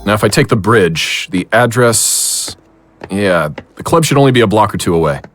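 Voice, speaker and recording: A man's voice: on the low side, lively intonation, moderate pace, soft background music, close to an everyday voice. A man acting a weary but determined investigator in an audio drama, with a wry manner. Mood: wondering. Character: weary, determined male investigator of strange happenings.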